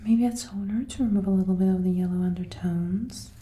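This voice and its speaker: A woman doing a gentle, attentive ASMR roleplay as an outfit designer, soft-spoken and often whispering, breathy and close to a binaural mic. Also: mid-range voice, steady tone, fairly quick, echoey room. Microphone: close binaural microphone, ear to ear.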